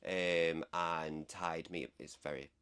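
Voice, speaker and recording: A man's voice: low voice, fairly even intonation, slow pace, fairly bright tone, clear, resonant tone. A man, chatting casually and informally as a podcast guest. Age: 20s. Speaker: podcast guest, horse rider. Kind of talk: casual conversation.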